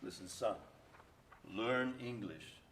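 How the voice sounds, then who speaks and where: A man's voice: fairly low pitch, slow pace, fairly bright tone, a little hiss or hum. A man playing a weary, guarded immigrant father, terse and admonishing, in a staged play reading. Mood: weary, firm.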